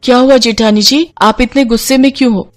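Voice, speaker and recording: A woman's voice: fairly high pitch, fairly even intonation, fairly quick, faint music behind, pitched above the performer's own voice, lightly acted. A woman voicing a fed-up but timid young Indian daughter-in-law, whiny and exasperated, in a story. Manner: whiny, exasperated, polite to elders.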